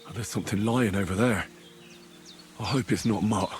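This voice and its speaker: An actor playing a young, earthy blacksmith's lad turned adventurer, plain-spoken and questioning, in video game dialogue. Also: male voice, lowish voice, normal speed, darkish tone, natural voice. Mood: worried, searching.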